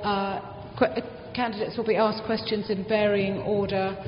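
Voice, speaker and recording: A woman's voice: mid-range voice, little pitch movement, soft background music. Woman emceeing in an echoey hall. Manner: halting, with fillers. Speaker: forum organizer. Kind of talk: introducing a candidate forum. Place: large hall. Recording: echoey hall, dull sound, faint crowd.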